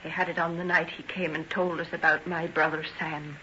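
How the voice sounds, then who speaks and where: An actress in an old-time radio drama playing a farmer's anxious, protective daughter, firm and plain-spoken. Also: female voice, deep voice, flat delivery, a little fast, pushed and bright. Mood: wary. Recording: dull old radio recording, faint noise.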